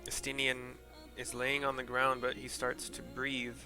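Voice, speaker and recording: A man's voice: medium pitch, completely flat delivery, a little slow, bright, forceful tone. A man streaming a video game, narrating scenes and commenting casually with rambling, informal, sweary asides. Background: game music.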